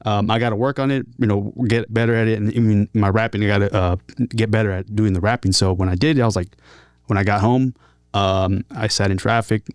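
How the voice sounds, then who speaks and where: A man telling personal stories casually as an interview guest, in a halting, earnest way with repeated words. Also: on the low side, fairly even intonation, smooth, no echo, clean studio sound. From San Diego, California. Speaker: interview guest, talkbox musician and rapper.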